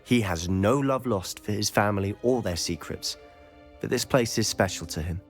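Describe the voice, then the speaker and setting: A man's voice: lowish voice, normal speed, faint music behind, natural voice. A young man playing a confident, secretive student in a game cutscene, eager and conspiratorial. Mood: confiding.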